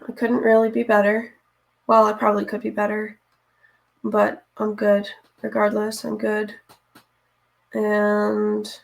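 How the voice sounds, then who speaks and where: Raspy-voiced woman chatting while painting. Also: fairly high pitch. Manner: chatty, talks to viewers while working, often unsure what to do next. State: hoarse from reading aloud. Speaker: streamer painting live. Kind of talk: casual chat while painting.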